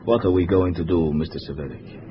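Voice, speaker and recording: An actor playing a haughty, anxious communist courier, formal and indignant, in 1950s radio drama style. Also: male voice, low-pitched, a little fast, lightly acted. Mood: indignant, alarmed. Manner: formal, indignant, fretful phrasing. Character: anxious, haughty Eastern European communist diplomatic courier, male. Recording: dull, noisy vintage radio recording.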